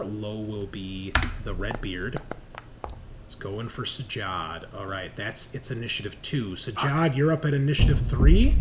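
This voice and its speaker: A man's voice: mid-range voice, very noisy. A man running a tabletop roleplaying game, casually explaining rules and narrating the action with some swearing. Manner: casual, profane. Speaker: game master.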